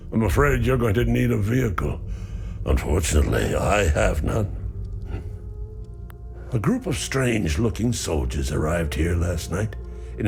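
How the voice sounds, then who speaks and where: An actor playing a weary, grieving older father in a video game, speaking in a slow, sombre, measured way. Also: on the low side, creaky, heavily acted.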